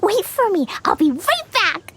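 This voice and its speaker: A woman voicing a cheerful, innocent, impulsive little girl adventurer in a video game, with a childlike, naive, excitable manner. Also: extremely high pitch, measured pace, slight background noise, exaggerated voice. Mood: eager, pondering. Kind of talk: video game character acting.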